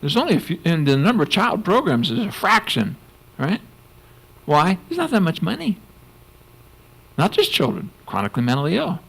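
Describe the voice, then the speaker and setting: An older man with a gravelly, slightly high voice talks on a panel in a lively, swooping way. He laughs often and drops into mock voices to make a point. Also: normal speed, pauses often, rough recording. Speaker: panel speaker, clinical psychologist. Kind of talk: conference panel discussion.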